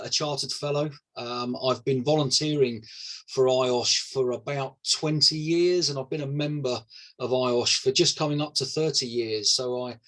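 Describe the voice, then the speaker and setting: A man's voice: mid-range voice, poor audio. A middle-aged man, president-elect of a professional body, opening an online session in a conversational way with light jokes and frequent restarts over a video call. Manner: warm, lightly joking, with repeated restarts. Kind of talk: conversational speech to a webinar audience.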